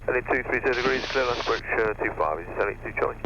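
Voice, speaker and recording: A man's voice: mid-range voice, animated, racing, pushed and bright. A man working as an airline pilot, giving clipped readbacks over aviation radio. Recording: muffled radio with faint hum and noise.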